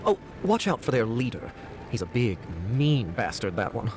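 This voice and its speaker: A man playing a gruff, wary local guard in a video game, giving blunt, colloquial cautions. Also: medium pitch, big pitch swings, normal speed, close to an everyday voice. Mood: wary warning. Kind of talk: video game character dialogue. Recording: game audio with music behind.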